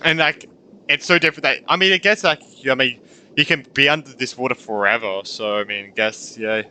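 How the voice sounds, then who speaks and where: A man's voice: mid-range voice, a little fast, pushed and bright, slight background noise. A young man giving casual, rambling, sweary gameplay commentary, reacting as he goes. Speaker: gamer, streamer. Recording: faint game music behind.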